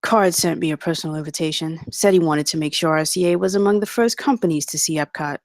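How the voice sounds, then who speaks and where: A woman plays a cynical corporate big shot in a table read. The voice is on the low side and full of creak, blunt and chummy, recorded close and dry. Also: fast talker, no echo. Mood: confiding, knowing. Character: brash, cynical male corporate executive with a drink.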